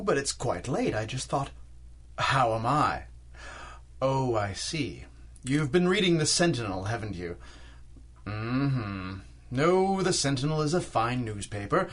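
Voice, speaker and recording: Blustering, jittery husband, swooping pitch. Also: male voice. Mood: patient, placating. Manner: exasperated, blustering, highly expressive. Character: nervous, blustering husband dismissing talk of ghosts. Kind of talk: 1930s-style radio drama acting.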